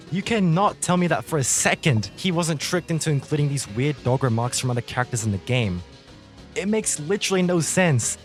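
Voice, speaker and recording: A young man delivering a scripted commentary rant, slangy and melodramatic, drawing out words for emphasis. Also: fairly high pitch. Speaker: video commentator. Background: music.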